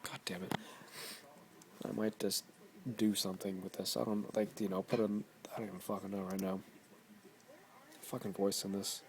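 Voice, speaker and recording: A man's voice: sits low, level tone, normal speed, gravelly, long pauses, noisy background. A man in his twenties, tired, rambling and swearing constantly in a casual vlog. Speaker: vlogger.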